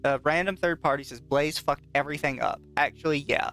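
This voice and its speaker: A man's voice: fairly high pitch, fairly quick. A man co-hosting a podcast in casual chat, often reading aloud with a mocking, profane manner. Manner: mocking, profane, laughs easily. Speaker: podcast co-host. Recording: soft background music.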